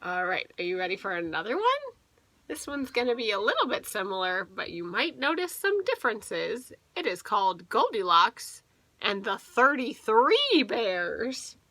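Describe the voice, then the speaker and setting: Animated, bright-voiced woman reading a picture book. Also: mid-range voice, normal speed, no echo. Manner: animated, with explanatory asides to young listeners. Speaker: teacher reading to a young class. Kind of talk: expressive children's picture book read-aloud.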